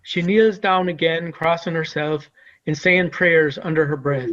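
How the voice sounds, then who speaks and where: A man's voice: a little high, fairly even intonation, a little slow, a bit bright, muffled recording. A man giving scripted narration, reading out the stage directions of a play.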